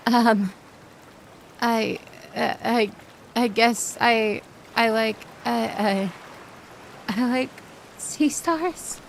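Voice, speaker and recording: Woman voicing a shy young mermaid, slightly high voice, slow hesitant delivery, easily flustered, kind-hearted, ASMR roleplay. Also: gravelly, a little hiss or hum, full character voice. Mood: shy, stammering. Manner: flustered, hesitant, stammering protests. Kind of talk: roleplay audio drama, ASMR.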